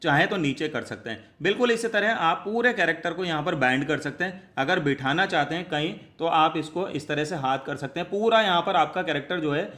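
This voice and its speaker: A man with a forceful, bright voice pitched a little high. He presents a step-by-step tutorial, chatty and repetitive as he explains each action. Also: animated, a little fast, few pauses. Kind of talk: step-by-step spoken app tutorial.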